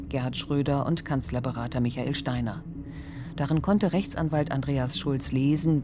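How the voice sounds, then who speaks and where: A woman's voice: rumbling low, background noise. A woman working as a radio reporter, reading scripted documentary news narration. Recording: music playing behind the voice. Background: music.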